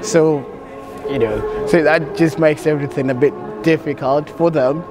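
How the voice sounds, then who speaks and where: A man from Zimbabwe talks in a slightly raised voice, relaxed and a little amused. He is recorded outdoors in a city, with music running beneath. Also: a little high, moderate pace. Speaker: interviewee, student abroad. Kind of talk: street interview.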